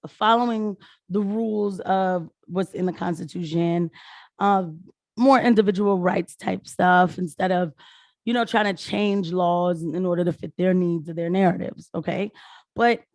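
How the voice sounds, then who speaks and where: Hoarse American woman in her thirties, dry sound. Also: medium pitch, a little mellow, dry recording. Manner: informal, rambling. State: hoarse after being sick. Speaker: political video commentator. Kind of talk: casual talk to viewers.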